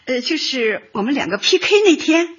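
An actress playing a nosy, teasing older granny from Shanghai, exclamatory and giggly, in a light radio comedy. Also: female voice, high-pitched, animated, normal speed, fairly bright tone, husky, highs cut off, full character voice. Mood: curious.

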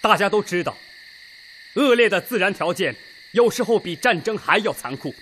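An actor playing a resolute Red Army political leader, making an emphatic, weighty speech in a radio drama. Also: male voice, sits high, lively intonation, moderate pace, fairly bright tone, a little hiss or hum. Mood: grave, emphatic. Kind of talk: Chinese radio drama acting.

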